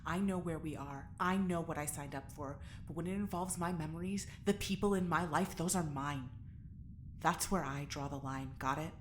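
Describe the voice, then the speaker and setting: A woman's voice: steady tone, normal speed, lightly acted. A woman acting in an audio drama as a blunt, guarded, defiant character with a firm, clipped delivery. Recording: faint noise with low rumble.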